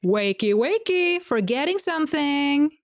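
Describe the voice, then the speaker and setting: A woman's voice: high voice, animated, measured pace, vocal fry, pitched above the performer's own voice, full character voice. A woman voicing a bored, scornful flaming sword that guards a gate, with snarky exclamations, in an audio drama. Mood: loud, mocking.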